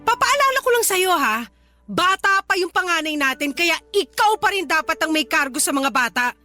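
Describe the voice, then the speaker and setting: An exasperated young mother in a radio drama. Her voice is extremely high and piercingly bright, scolding and sarcastic, with music playing underneath. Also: big pitch swings, moderate pace, heavily acted. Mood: accusing, sarcastic. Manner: nagging, sarcastic. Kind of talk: Tagalog radio drama acting. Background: background music.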